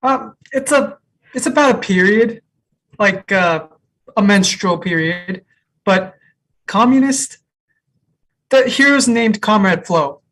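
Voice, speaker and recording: Casting: actress as young cafe regular, husky, unhurried, friendly and low-key, comedy read. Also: female voice, animated, fairly bright tone, poor audio. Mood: relaxed, friendly. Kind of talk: comedy table read acting.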